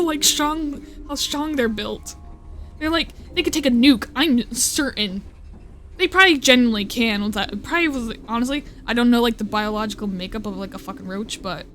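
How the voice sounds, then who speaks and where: A woman's voice: on the high side, fairly bright tone. A young woman streaming live, chatting casually and rambling, often cutting herself off and swearing. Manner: rambling, swearing, with self-interruptions and laughs.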